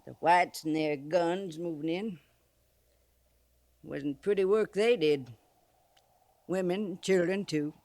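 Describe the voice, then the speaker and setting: A woman's voice: fairly low pitch, takes it slowly, long pauses, darkish tone. Tough old American frontier woman. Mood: somber. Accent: American, rustic frontier. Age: older. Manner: folksy, wry, blunt. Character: tough, canny old frontier trader woman, unafraid and sardonic. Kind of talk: 1950s radio western acting. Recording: noisy vintage 1950s radio recording.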